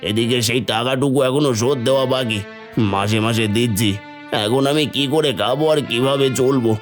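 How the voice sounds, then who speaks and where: A man plays a proud, tight-fisted schoolteacher in a cartoon village drama. The voice sits at an ordinary male pitch, delivered as dramatic dialogue. Soft music runs behind it. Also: a little fast, close to an everyday voice. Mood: despairing. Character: proud, stingy schoolteacher friend, later ruined. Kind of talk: animated Bengali moral story dialogue.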